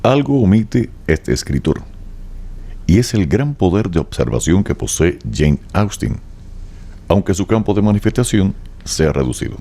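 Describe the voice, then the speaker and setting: A man's voice: low-pitched, sing-song, normal speed, warm tone. A man narrating a radio drama from a script, in a formal storytelling style.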